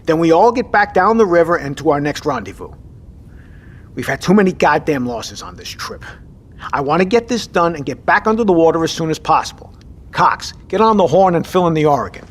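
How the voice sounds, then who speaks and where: An actor playing a decisive, gruff navy submarine captain in an audio drama, with commanding, clipped, profane delivery. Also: male voice, on the high side, expressive, brisk. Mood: frustrated, resolute. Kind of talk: scripted audio drama acting.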